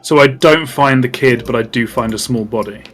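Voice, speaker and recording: A man's voice: mid-range voice, normal speed, fairly bright tone, faint music behind. A man playing a tabletop roleplaying game, talking casually in a questioning, stop-start way.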